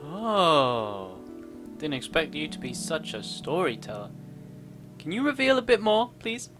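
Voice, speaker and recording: Girl gamer reacting casually, lowish slow voice but really animated, playful, faint music. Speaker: streamer playing a video game. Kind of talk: casual playthrough commentary.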